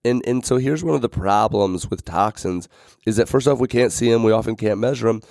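Low, dry-voiced podcast host, slightly raspy. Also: male voice, fairly quick, darkish tone, no echo. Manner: rambling, many fillers and restarts. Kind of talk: casual podcast monologue.

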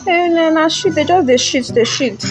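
A woman plays a weary Nigerian mother of small children. Her voice is very high and expressive, full of exclamations and repeated phrases. It is an audio drama performance with clear music underneath. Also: moderate pace, natural voice, low-quality recording. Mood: animated, weary.

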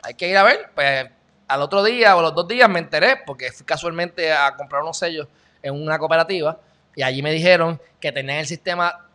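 A man's voice: fairly high pitch, fairly quick, pushed and bright. Chatty Puerto Rican man streaming. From Puerto Rico. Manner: chatty, sarcastic, greets viewers by name. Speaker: channel host. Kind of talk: casual live-stream news chat.

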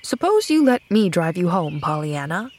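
A woman reading the part of a genial, teasing male doctor in an audiobook, brisk and kindly. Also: lowish voice, very animated, darkish tone, a little hiss or hum. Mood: brisk, friendly. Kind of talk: audiobook character acting.

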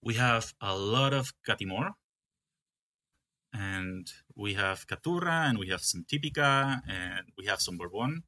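A man's voice: lowish voice, animated, unhurried, bright, forceful tone. A young man from Peru who manages a coffee farm, talking in a casual interview and often stopping to restart his sentences. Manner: hesitant, with many restarts.

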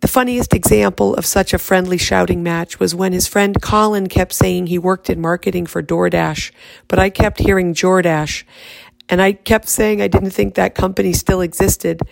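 A woman reading her own poem aloud in a raspy, hoarse voice from laryngitis, speaking softly. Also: lowish voice, fairly even intonation, no echo. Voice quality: raspy, fried voice. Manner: soft, unhurried speaking.

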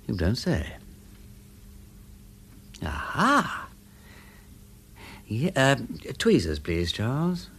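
An aristocratic English gentleman detective in a radio drama. His upper-class voice swoops dramatically up and down, full of playful exclamations, and he is witty, keen and theatrical. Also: medium pitch, huge pitch swings, extremely slow, pauses often, husky, full character voice. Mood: eager, absorbed.

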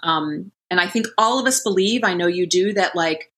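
A woman in her forties tells her story as a podcast guest. Her voice is bright and crisp, and she talks in a loose, chatty way, often restarting her sentences. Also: on the low side, moderate pace. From Columbus, Ohio. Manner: chatty, frequent restarts and fillers. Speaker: guest, lactation company founder. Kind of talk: conversational podcast interview.

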